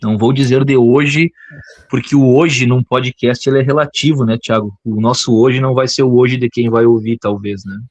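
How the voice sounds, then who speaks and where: A man's voice: medium pitch, fairly even intonation, normal speed, darkish tone, no room sound. A Brazilian man from the south of Brazil, co-hosting a podcast in a playful, joking way and chuckling often. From Brazil, Rio Grande do Sul. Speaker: podcast co-host. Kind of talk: casual podcast chat.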